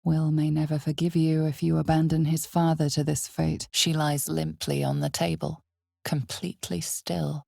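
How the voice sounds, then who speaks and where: A woman playing an ominous, knowing narrator of a dark fantasy video game, with a breathy voice and deliberate, dramatic storytelling. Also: low-pitched, no echo, clean studio sound, full character voice. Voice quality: breathy, husky, often near a whisper.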